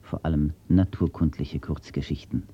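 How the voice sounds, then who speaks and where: A man, a writer, reading his own words and reciting nature poetry in a slow, measured, solemn way, from an old archive recording. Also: rumbling low, fairly even intonation, breathy edge, dull audio. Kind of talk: poetry recitation and read-out statements.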